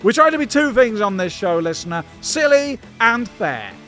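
A man's voice: high-pitched, very animated, normal speed, a bit bright, soft background music. A British man co-hosting a silly history comedy podcast, with playful, mock-grand scripted narration.

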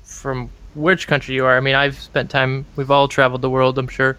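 A man's voice: medium pitch, completely flat delivery, brisk, sharp tone, clear, resonant tone. A man in a casual conversation, making earnest, opinionated points and searching for words as he goes.

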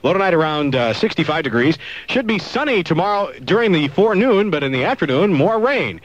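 A man's voice: fairly high pitch, sing-song, a little fast, no room sound. A man working as a radio DJ, delivering jokey, punchy 1970s top-40 patter and ad reads. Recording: dull lo-fi 1970s AM radio aircheck.

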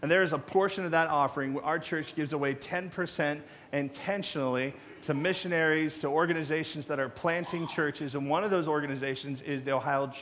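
A middle-aged American man with a slightly high voice addresses his church as its pastor. He talks informally and conversationally. He leans on anecdotes and small jokes. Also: fairly bright tone, a little hiss or hum. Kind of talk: informal sermon talk to congregation. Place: church stage.

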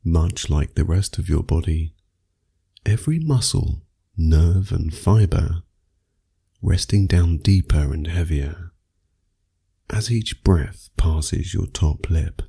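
A man guides a sleep meditation in a low, husky voice. He reads very slowly and soothingly, leaving long pauses between measured phrases. Music plays behind him. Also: warm tone.

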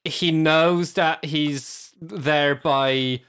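A man co-hosting a podcast in casual discussion, with halting, deliberate phrasing. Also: on the high side, fairly even intonation, a bit bright, clean tone.

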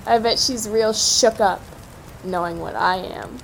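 A woman's voice: mid-range voice, creaky, rough recording. An actress as a predatory young cannibal woman from an audio drama, taking her time over every word. She taunts her captors with hungry, cruel relish, over a faint bed of background noise. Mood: taunting. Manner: slow, savoring, menacing taunts.

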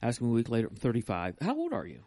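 A man's voice: mid-range voice, wildly swooping pitch, racing, mellow, no echo, studio quality. Rambling male podcast co-host. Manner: chatty, rambling, laughs easily. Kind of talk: casual podcast banter. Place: live stream room.

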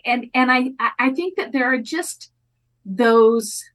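Slow, careful woman educator. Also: fairly high pitch, fairly bright tone. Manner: slow, careful, measured with many restarts. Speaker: community college educator, guest. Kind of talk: thoughtful podcast interview talk.

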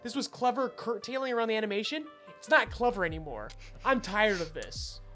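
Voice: mid-range voice, expressive, brisk, bright, forceful tone, faint music behind. An opinionated podcast co-host casually reviewing a film, speaking emphatically and swearing freely.

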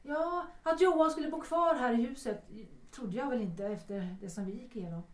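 A woman's voice: sits high, far from the mic, natural voice. A woman acting an anxious, evasive actress in her thirties in a period radio drama, hesitant, defensive and formal. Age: 30s. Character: anxious, evasive, wounded actress of 33, former wife. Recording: echoey, off-mic.

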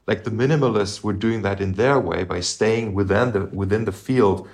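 A man with a very low, gravelly voice talks over music theory in an unscripted chat. He pauses and restarts often, now and then humming a few notes to show what he means. He sounds middle-aged and thoughtful. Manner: thoughtful, halting, hums melodies to illustrate. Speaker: podcast co-host, musician. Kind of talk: casual music discussion.

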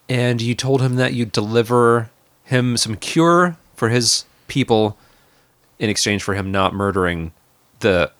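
A man's voice: on the low side, fairly bright tone, no echo. A man acting as game master on a tabletop game podcast, recapping the last session in an easygoing, rambling way.

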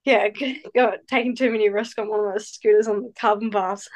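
Hesitant New woman, relaxed. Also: mid-range voice, a little fast. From New Zealand. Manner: hesitant, many fillers, relaxed. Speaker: guest, professional cyclist. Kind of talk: casual interview answers.

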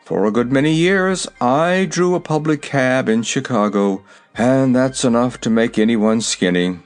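A man's voice: lowish voice, very animated, a little slow, darkish tone, faint music behind. A man voicing a grumpy, scornful old cab horse with a growling, world-weary manner, in a storybook reading. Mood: despondent, weary.

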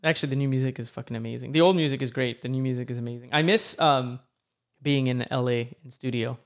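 A man's voice: mid-range voice, fairly even intonation, quick pace. A man talking as a podcast guest in casual chat, emphatic and upbeat, swearing freely.

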